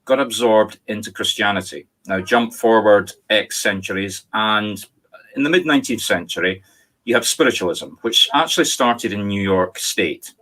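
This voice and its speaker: A man with a Scottish accent speaks in a fairly low voice. He tells history as a winding story, often correcting himself mid-sentence. The sound comes through a video call. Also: moderate pace, bright, forceful tone. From Scotland. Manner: discursive, storytelling, self-correcting. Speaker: spiritualist medium. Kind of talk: online talk, lecturing on history. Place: home, on video call with virtual background.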